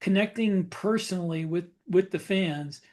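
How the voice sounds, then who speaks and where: A man chatting casually as a podcast co-host, thinking aloud in halting phrases that he often repeats. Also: high voice, a little mellow. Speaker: podcast co-host and fiction author.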